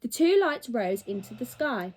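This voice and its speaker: A woman reading a children's storybook aloud in an animated, dramatic, teacherly way. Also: on the high side, normal speed. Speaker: primary school teacher.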